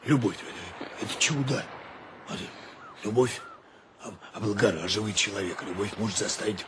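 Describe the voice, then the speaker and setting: A flustered, fatherly sea captain from a radio play, acted by a man. His voice is on the low side and he stumbles and repeats himself like a well-meaning uncle. The recording has clear background noise. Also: a little fast, lightly acted. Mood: lecturing, fatherly. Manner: hesitant, repeating words. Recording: noisy radio drama recording.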